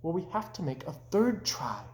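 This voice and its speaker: Man acting optimistic frog, children's storybook, high lively voice, encouraging, echoey room. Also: moderate pace. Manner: encouraging, upbeat. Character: cheerful, ever-optimistic frog, encouraging friend. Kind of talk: children's storybook read-aloud.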